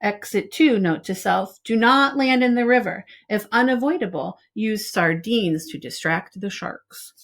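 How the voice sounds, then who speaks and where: A woman reading a picture book aloud like a preschool teacher, expressive and speaking directly to young listeners with casual asides. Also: mid-range voice, measured pace, hardly any pauses.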